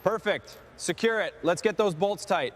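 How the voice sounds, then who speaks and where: A man playing a brisk, businesslike restoration technician in scripted team dialogue. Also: high-pitched, slight background noise, lightly acted.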